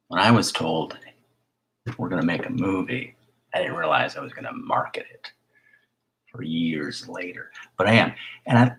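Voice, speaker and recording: A man with a raspy voice hosting a casual live stream as a filmmaker, rambling in a chatty way and sometimes dropping to a whisper. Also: low voice. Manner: hushed at times, drifting into whispers; chatty, with fillers and restarts.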